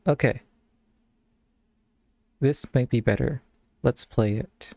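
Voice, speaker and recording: A man's voice: medium pitch, takes it slowly, vocal fry, warm tone, no room sound. A man streaming live, chatting casually and thinking aloud in a rambling way into a close mic. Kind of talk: casual live-stream chat while browsing games.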